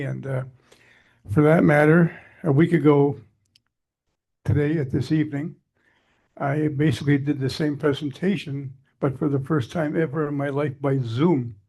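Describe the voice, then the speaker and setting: A man's voice: on the high side, gravelly, darkish tone, breathy edge. An older Canadian man giving a chatty, digressive talk to a society meeting, his voice scratchy from allergies. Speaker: guest speaker.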